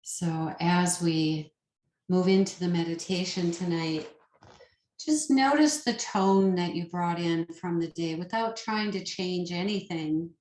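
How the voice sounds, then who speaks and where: Echoey room, woman, meditation teacher, very slow delivery, soothing, short phrases with pauses. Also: on the low side, darkish tone. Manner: soothing, unhurried, pausing between short phrases. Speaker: yoga nidra meditation teacher. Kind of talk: guided meditation. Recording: roomy, echoing sound.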